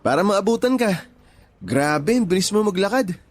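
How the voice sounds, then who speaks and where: Actor as an earnest young man, higher-pitched. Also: lively intonation, normal speed. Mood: eager. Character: earnest, friendly young male fast-food coworker. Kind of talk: Filipino radio drama dialogue.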